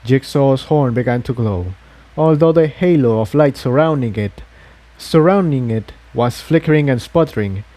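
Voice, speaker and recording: A man's voice: medium pitch, normal speed, mellow, no room sound. A man reading an adventure story aloud as a scripted narration.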